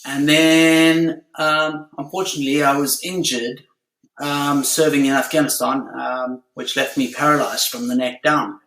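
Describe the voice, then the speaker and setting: Slow, even-toned man, echoey room. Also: a little high. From South Africa. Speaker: podcast guest, former Royal Marine. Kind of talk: personal introduction in an interview.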